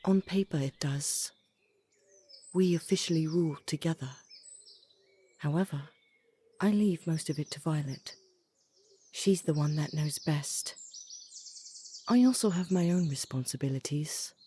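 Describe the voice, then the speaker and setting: A woman playing a courteous, devoted queen consort in a fantasy audio drama, polite and composed, with a husky voice. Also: low-pitched, a little slow, long pauses, dark tone, a little hiss or hum. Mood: fond. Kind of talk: scripted fantasy audio roleplay.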